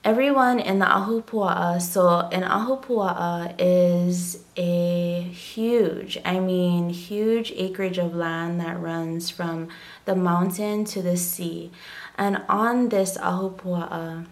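A woman from Hawaii, hosting her own podcast, talking slowly and gently with many ums and pauses. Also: lowish voice. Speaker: podcast host. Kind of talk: casual solo podcast monologue. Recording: loud background music.

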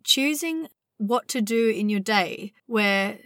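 A young woman hosting a spiritual podcast from inside her van at night, slightly husky as her voice recovers, talking in a dreamy, meandering way. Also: mid-range voice, expressive, a bit bright, dry recording, studio quality. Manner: dreamy, meandering, drawn-out words. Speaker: podcast host. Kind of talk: casual spiritual podcast monologue.

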